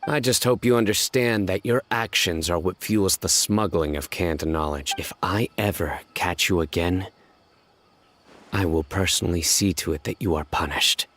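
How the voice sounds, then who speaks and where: An actor playing a stern, measured male enforcer in a video game, speaking formally. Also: low voice, fairly bright tone.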